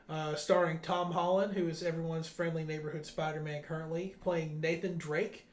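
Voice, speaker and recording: A man's voice: a little high, reverberant. A man hosting a video game news podcast, running through the news in a casual, chatty way. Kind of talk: casual news rundown and chat.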